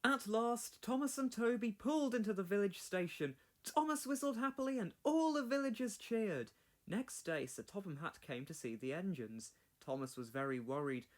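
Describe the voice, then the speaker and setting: A man narrates a children's storybook in a notably high voice. His reading is scripted and very animated, with plenty of rise and fall. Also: normal speed.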